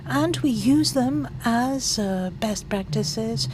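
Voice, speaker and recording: A woman giving simultaneous interpretation of a conference talk, halting and full of pauses and fillers as she keeps pace with the speaker. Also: on the high side. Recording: interpretation feed with background noise.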